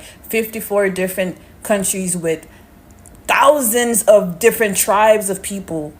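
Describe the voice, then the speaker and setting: A woman with a lowish voice talks in a casual monologue. She is emphatic and incredulous and keeps pressing with rhetorical questions.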